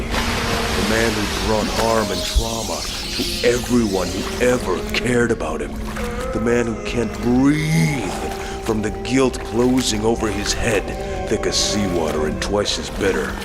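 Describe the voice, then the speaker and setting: A man's voice: on the low side, close to an everyday voice. A man playing a guilt-ridden, grim vigilante and ex-mercenary in a dramatic comic dub, brooding and terse. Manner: brooding, confessional, terse under pressure. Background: background music.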